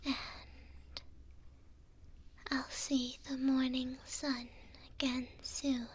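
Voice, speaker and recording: A woman voicing a kind, gentle imprisoned elf in a soothing ASMR roleplay, whispering breathily and tenderly close to a binaural microphone. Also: high voice, level tone, slight background noise. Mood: wistful, tender. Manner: slow, soothing, tender, with long pauses.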